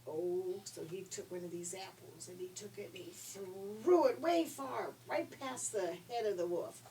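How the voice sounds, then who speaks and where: A woman's voice: medium pitch, unhurried, warm tone. An older woman telling stories to children in an informal, folksy, conversational way. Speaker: storyteller for children. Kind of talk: informal storytelling. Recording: distant microphone, faint background noise.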